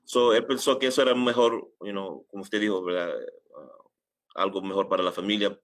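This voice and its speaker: A middle-aged man from New York telling his story as an interview guest, halting often and dropping filler phrases in. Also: lowish voice, fairly even intonation, fairly bright tone. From United States, New York. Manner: halting, sprinkles English filler phrases into Spanish. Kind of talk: casual personal storytelling in an interview.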